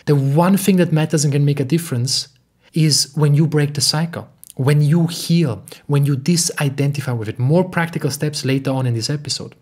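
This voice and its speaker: A young man from Austria speaking in an earnest, emphatic way, slowly repeating phrases as he talks alone to the camera at home. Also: on the high side, darkish tone, slightly rough. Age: young adult. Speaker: podcast host and spiritual teacher. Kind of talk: reflective solo talk. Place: home living room.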